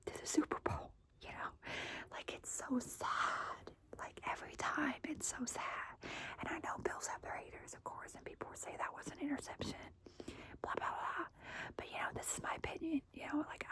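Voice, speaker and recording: A woman's voice: sits high, bright, forceful tone. A woman whispering casually through a long chatty stretch, full of fillers and strong opinions as a football fan.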